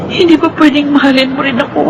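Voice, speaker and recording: A woman's voice: sits high, steady tone, quick pace. Wistful young woman in love, noisy ambience. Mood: tearful, pleading. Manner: tender, wistful, earnest. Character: lovelorn, fragile young heiress grieving her lost fiance. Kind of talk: Filipino radio drama acting.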